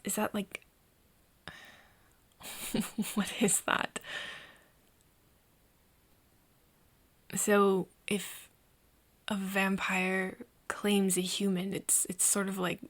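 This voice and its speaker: A woman playing a frightened but stubborn human confiding in a vampire friend in an intimate ASMR audio drama, with a husky, breathy voice and hesitant delivery. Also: medium pitch. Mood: puzzled, tentative. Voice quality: breathy, husky, often dropping to a whisper. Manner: hesitant, halting, stammering over words.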